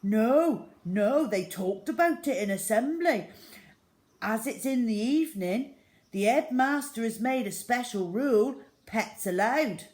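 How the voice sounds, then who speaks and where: Storybook part: tough young schoolgirl turned eager friend, acted by a woman, loud, blunt and gushing, pitched high. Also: lively intonation, unhurried. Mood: excited, gossipy.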